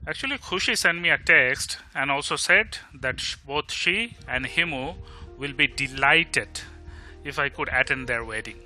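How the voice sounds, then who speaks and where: A man with an Indian Bengali accent playing a caring, teasing young male friend in a bilingual audio drama, asking after someone and gently chiding. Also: medium pitch, lively intonation, measured pace, faint music behind, poor audio. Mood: relieved, protective. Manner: solicitous, gently chiding, asking many questions.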